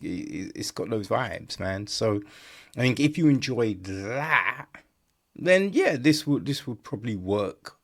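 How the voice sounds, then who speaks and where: Male podcast reviewer, medium pitch, slow delivery; loose, rambling, chummy and off the cuff. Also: fairly bright tone. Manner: rambling, repetitive, peppered with "right?" and "you know"; informal, chummy address, mild swearing. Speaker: podcast host reviewing films. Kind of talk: casual unscripted film review monologue.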